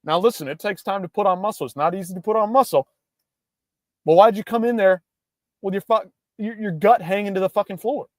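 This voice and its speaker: A man's voice: high voice, a little fast, no echo, clean studio sound. A young man hosting a casual livestream, ranting in a hyped-up, profane way. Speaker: livestream host.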